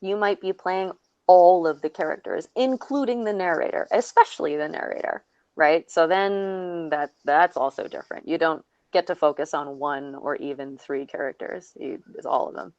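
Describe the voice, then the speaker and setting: A woman's voice: deep voice, normal speed, a little mellow, dry recording. A woman talking casually as a panelist, with a slightly dull recording.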